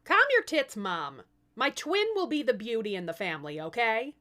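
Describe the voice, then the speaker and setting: A woman's voice: on the high side, sing-song, moderate pace, sharp tone. A woman voicing a bold, cocky young princess in a comic fairy tale, brash and slangy. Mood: cocky, reassuring. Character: bold, cocky ugly princess girl, fearless and bossy.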